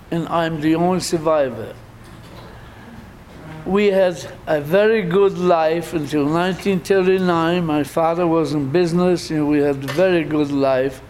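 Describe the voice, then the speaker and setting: Slow-spoken older man from Poland. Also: fairly high pitch, a little mellow, a little hiss or hum, rough recording. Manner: slow, halting, with restarts and repeated phrases. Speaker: speaker telling his life story. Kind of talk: unscripted testimony to an audience.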